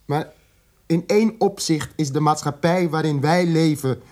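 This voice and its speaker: An actor playing an educated, indignant South African radical in a radio drama, articulate and emphatic, repeating words for weight. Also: male voice, a little high, normal speed, darkish tone, close to an everyday voice. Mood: solemn, impassioned.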